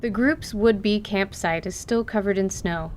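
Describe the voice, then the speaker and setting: A woman narrates an audio drama from a script. Her voice is mid-pitched, and the recording carries clear noise and a low rumble. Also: measured pace, darkish tone.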